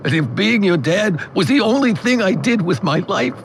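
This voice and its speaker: A man playing a loving, gentle older father in an audio drama, speaking tenderly and earnestly. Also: high-pitched, lively intonation, normal speed, a little hiss or hum, pitched above the performer's own voice. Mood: tearful, loving. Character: loving, gentle widowed father nearing old age.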